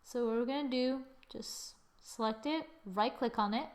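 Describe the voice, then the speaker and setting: A woman hosting a casual drawing software tutorial, thinking aloud informally and often murmuring as she works. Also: on the high side, normal speed. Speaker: tutorial host. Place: screen-recording voice-over.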